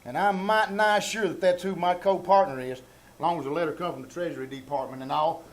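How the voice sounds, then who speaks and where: Man playing a pompous, high-pitched Southern country fellow. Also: quick pace, lightly acted. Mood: self-important. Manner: folksy, pompous, blustering drawl. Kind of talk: old-time radio comedy acting, live reenactment. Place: small theater stage before audience. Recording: live small theater stage, background noise.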